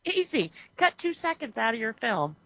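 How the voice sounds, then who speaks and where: A woman co-hosting a podcast, chatting casually about a movie, animated and quick to laugh. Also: fairly high pitch, vocal fry, no echo. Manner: animated, laughs often, acts out quotes. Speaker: podcast co-host. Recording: muffled lo-fi audio.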